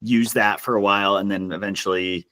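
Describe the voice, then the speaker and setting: A man speaking casually as an interview guest, telling stories in an animated, self-deprecating way. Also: fairly low pitch, brisk. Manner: self-deprecating, chuckles as he talks. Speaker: podcast guest, customer success executive.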